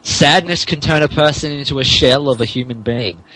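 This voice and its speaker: A man narrates fan fiction as a comic dramatic reading. His medium-pitched voice is theatrical, and he trips over the words as he reads. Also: normal speed, bright, forceful tone, poor audio. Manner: theatrical, stumbling over misspelled text. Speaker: podcast host reading as narrator.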